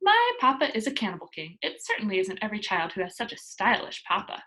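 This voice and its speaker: A woman's voice: medium pitch, huge pitch swings, quick pace, pushed and bright. A woman voicing a cheerful, confident nine-year-old girl from a storybook, proud and carefree.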